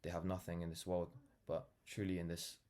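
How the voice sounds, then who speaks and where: A man's voice: low voice, completely flat delivery, fairly quick, darkish tone. A nineteen-year-old man with a London accent talking casually and reflectively to camera, earnest and rambling, often saying "like" and "you know". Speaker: vlogger, brand founder.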